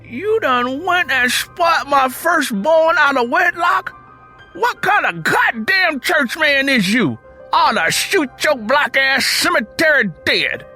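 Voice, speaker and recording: A man performs a gruff, suspicious country father from an audio drama, high and piercing. His Southern speech is folksy and blustering, with a growl and big swings in pitch. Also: lively intonation, slow pace, bright, forceful tone, soft background music, full character voice. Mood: furious. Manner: folksy rural dialect, blustering. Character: hot-tempered hard-drinking rural father, gruff and suspicious.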